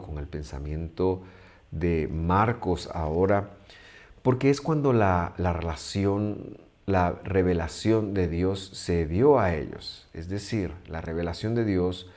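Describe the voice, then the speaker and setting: A man who speaks Spanish as his first language, teaching a Bible study in a measured way with frequent pauses and restarts. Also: deep voice, darkish tone.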